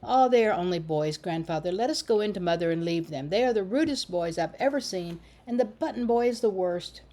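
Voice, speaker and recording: A woman's voice: on the low side, animated, a little fast, dark tone, close to an everyday voice. A woman voicing a bold, saucy little girl in a storybook reading, teasing and sing-song. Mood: eager.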